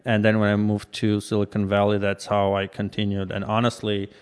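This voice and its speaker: A man's voice: fairly low pitch, completely flat delivery, fairly quick, clear, resonant tone. A man from Armenia, a startup co-founder answering interview questions thoughtfully, with fillers and restarts.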